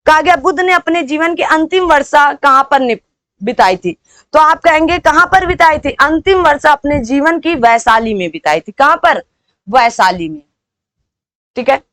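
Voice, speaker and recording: A woman teaching an exam-preparation lesson, repeating points and prompting listeners with quick rhetorical questions. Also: sits high, animated, fairly bright tone, no echo.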